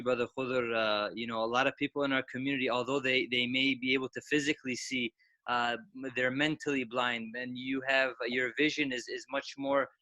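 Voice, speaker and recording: Male moderator, bright tone, restarts his sentences often. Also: mid-range voice, dry recording. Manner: repeats himself, rephrases often. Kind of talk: asking a question on an online panel.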